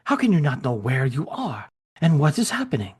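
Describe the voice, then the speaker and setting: A frantic, shouting German officer , as a man puts him on in a comic reading. The voice is pitched very high and husky, leaping all over the place. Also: huge pitch swings, normal speed, full character voice. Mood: alarmed. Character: panicked German officer friend, male, adult.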